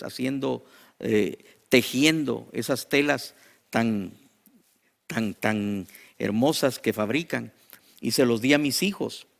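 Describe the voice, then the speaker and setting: A man with a Guatemalan accent preaching to a congregation as a pastor, in emphatic, repeated exhortations with deliberate pauses. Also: medium pitch, vocal fry, fairly bright tone. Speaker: pastor and teacher. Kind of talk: sermon to a congregation.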